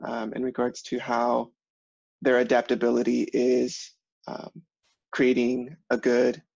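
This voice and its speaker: A man speaking up in a work meeting on a conference call, with halting, formal phrasing. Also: mid-range voice, completely flat delivery, creaky, a little mellow, slightly rough, no room sound. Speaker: human services administrator. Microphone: remote conference call. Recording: dull, slightly noisy conference call.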